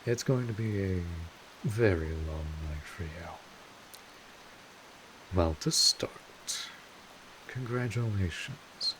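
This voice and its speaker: A man playing a wry, laid-back vampire mentor in an intimate audio drama, casual and sardonic, with drawn-out pauses, protective and a little world-weary. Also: lowish voice, lively intonation, fairly bright tone, slight background noise, rough recording. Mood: wry, sympathetic.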